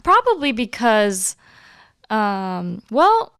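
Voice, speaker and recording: Slow-talking, smooth-voiced Japanese woman. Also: mid-range voice, dry recording, studio quality. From Osaka, Japan. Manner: giggly, relaxed, slow and unhurried. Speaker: Japanese teacher, co-host. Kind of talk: casual conversational lesson.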